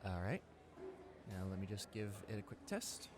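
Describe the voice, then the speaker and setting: A young man playing a friendly, eager high school senior in a roleplay audio drama, rambling enthusiastically with stammers and repeats. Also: very animated, normal speed. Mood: tentative, friendly. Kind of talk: roleplay audio drama, casual one-sided conversation. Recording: clear background noise, ambience.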